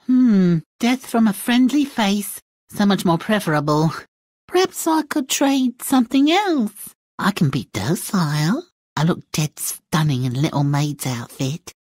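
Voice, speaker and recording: A coy young princess in a video game, theatrical and secretly vengeful. Her delivery is unhurried, with big swings in pitch. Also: animated, darkish tone, no echo, clean studio sound. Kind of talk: video game character acting.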